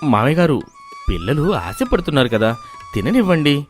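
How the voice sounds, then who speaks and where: A man voicing a gentle, selfless village son-in-law in a moral story, speaking softly and respectfully. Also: on the high side, very animated, moderate pace, faint music behind. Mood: pleading. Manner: soft, respectful, patient. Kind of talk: animated moral-story voice acting.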